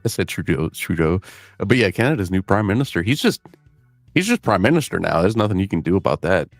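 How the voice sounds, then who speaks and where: A man from Michigan co-hosting a live talk show with wry, deadpan quips. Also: sits low, fast, dry recording, soft background music. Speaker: co-host. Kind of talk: casual chat.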